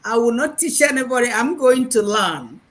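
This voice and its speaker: A woman in her sixties with a Nigerian accent, giving a chatty, halting speech over a video call at an online graduation. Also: fairly high pitch. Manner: chatty and animated, restarts and repeats words, hesitant fillers. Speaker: graduating program participant, retired math lecturer.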